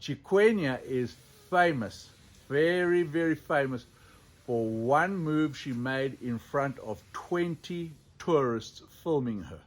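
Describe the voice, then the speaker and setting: A man telling stories in an animated, unscripted way, theatrical and given to dramatic pauses. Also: a little high. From Zimbabwe. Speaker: storyteller, sculptor presenting his own elephant figures.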